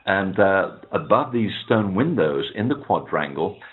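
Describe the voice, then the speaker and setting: A man whose first language is Portuguese, a guest explaining ancient temples at length in a relaxed way, with many fillers. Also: low voice, unhurried. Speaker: author and guest. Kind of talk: phone interview, explaining at length. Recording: tinny phone line.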